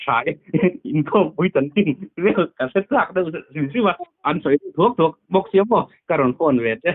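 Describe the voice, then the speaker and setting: A man's voice: fairly high pitch, expressive, moderate pace. A man talking casually on a phone call, his voice coming through a tinny, narrow line. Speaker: phone caller. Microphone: telephone.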